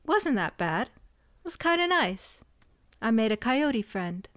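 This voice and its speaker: A quiet young witch from an audio drama, played by a woman, speaking in a fairly high voice at an unhurried pace. She sounds plain and earnest, and the recording is dry and close. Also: very animated, no echo, full character voice. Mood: defensive. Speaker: shop heir and cousin.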